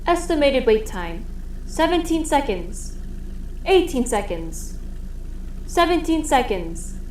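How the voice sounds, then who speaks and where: A woman's voice: high-pitched, sing-song, slow pace, darkish tone, reverberant, a little hiss or hum, exaggerated voice. A woman playing a small, polite robot companion in an audio drama, speaking in formal status-report phrases. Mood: polite, mechanical. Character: small cheerful all-purpose robot companion with personality core.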